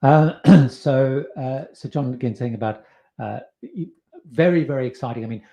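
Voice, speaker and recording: A man's voice: medium pitch, normal speed, vocal fry, mellow. A middle-aged man hosting a casual live question-and-answer stream, talking loosely with many fillers and restarts. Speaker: livestream host answering viewer questions.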